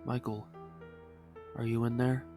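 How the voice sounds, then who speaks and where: A man voicing an earnest, determined young man in an amateur comic dub, speaking in a measured, pleading way over music. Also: mid-range voice, flat delivery, lightly acted. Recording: music behind the voice.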